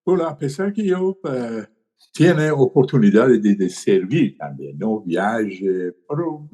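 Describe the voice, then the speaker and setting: An older man tells his story as a podcast guest. His voice is mellow and dark, and his pitch moves up and down a lot. He talks with many pauses, fillers and tag questions. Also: sing-song. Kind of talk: conversational storytelling.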